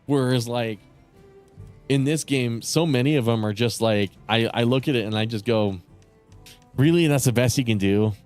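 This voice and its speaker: A man chatting casually with viewers on a live stream, rambling and swearing with lots of fillers, his voice starting to go raspy. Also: mid-range voice, moderate pace, a little mellow, faint music behind. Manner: rambling, profane, many fillers and restarts. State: voice getting raspy.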